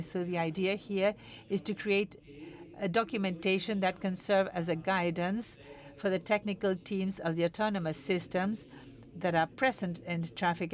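A woman giving live interpretation of a technical conference talk, measured, with pauses and self-corrections. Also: lowish voice.

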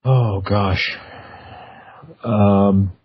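A man's voice: lowish voice, fairly even intonation, very slow, slightly rough. A man hosting a casual radio interview in an informal, conversational manner. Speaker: radio host. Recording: narrowband phone-like radio sound.

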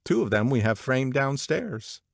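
A man's voice: mid-range voice, very animated, unhurried, gravelly, no echo, voice pushed up, full character voice. A man voicing an eager, self-promoting male artist in an audiobook, earnest and a little self-important. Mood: proud.

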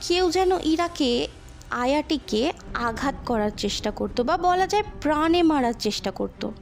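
A woman narrating a scripted ghost story in an expressive, dramatic storytelling manner. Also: sits high, gravelly.

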